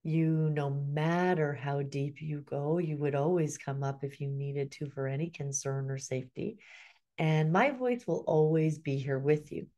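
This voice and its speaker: A middle-aged woman guiding a hypnosis session in a slow, soothing way, often sinking into a soft whisper. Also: deep voice, muffled recording.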